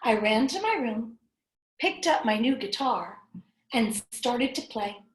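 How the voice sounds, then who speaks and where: A woman's voice: a little high, animated, slow, echoey room. A woman cantor leading a children's story time, talking simply to young listeners and asking them questions.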